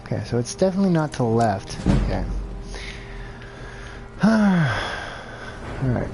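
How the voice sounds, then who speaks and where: A man's voice: mid-range voice, very animated, measured pace, creaky, over music. Rambling man streaming a game. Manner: rambling, self-deprecating, thinks aloud. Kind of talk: casual gameplay commentary.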